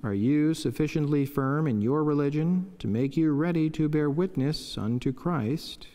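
A middle-aged friar reading a devotional reflection in a solemn, measured, formal way from a chapel lectern. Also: male voice, a little high, warm tone. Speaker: friar preaching. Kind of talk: read devotional reflection and prayer. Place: wood-panelled chapel indoors, at a lectern. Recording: long echoing chapel reverb.

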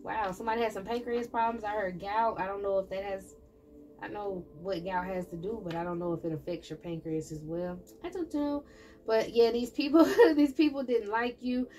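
A woman's voice: mid-range voice, moderate pace, mellow, slight background noise. A chatty woman gives a loose, conversational tarot reading. She talks straight at her audience in a mid-range voice, thinking aloud as she goes. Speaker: tarot reader. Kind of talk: casual tarot reading for viewers. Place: indoor table with candles and plants.

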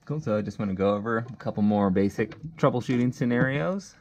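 A low-pitched man explains a repair job step by step in a relaxed, off-the-cuff way, with plenty of "okay" and "all right" as he works. He sounds recorded on a camera near his hands at a workbench, with a faint hiss behind him. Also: fairly quick. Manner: casual, step-by-step, thinking aloud with fillers. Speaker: HVAC technician instructor. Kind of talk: hands-on troubleshooting demo. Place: workshop bench with a test board.

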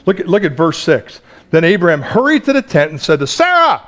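Lively, chatty male preacher. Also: a little high, brisk. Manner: lively, chatty preaching with laughs and repeated restarts. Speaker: pastor. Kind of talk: sermon. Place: small church sanctuary.

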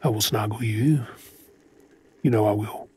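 Husky Australian actor as a frantic, doting boyfriend. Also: male voice, fairly low pitch, measured pace, a little mellow. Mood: shaken, loving. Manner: stammering, emotional, affectionate. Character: frantic, tender, fussing boyfriend of a firefighter. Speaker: audio role-play voice actor. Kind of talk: intimate boyfriend role-play, ASMR-style acting.

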